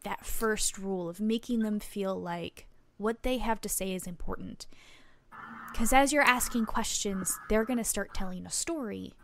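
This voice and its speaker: A woman's voice: medium pitch, vocal fry, fairly bright tone. A woman playing a chatty, helpful small-town reporter, friendly and explanatory, in a tabletop roleplaying game. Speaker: game master.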